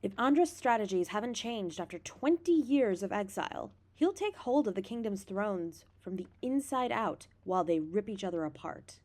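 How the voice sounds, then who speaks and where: A woman's voice: medium pitch, expressive, moderate pace, hardly any pauses, a little mellow, lightly acted. A woman playing a serious council strategist in a fantasy audio drama, speaking formally. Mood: warning.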